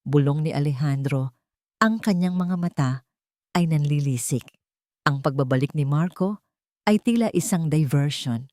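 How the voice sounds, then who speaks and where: A woman narrates a scripted dramatic story in a measured, earnest manner. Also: low voice, dark tone, dry recording, clean studio sound.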